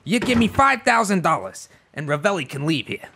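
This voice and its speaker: A man playing a blustering gangster boss with a gravelly voice and tough-guy slang in a radio play. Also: high-pitched, sing-song, brisk, bright, forceful tone, slight background noise, exaggerated voice. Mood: menacing.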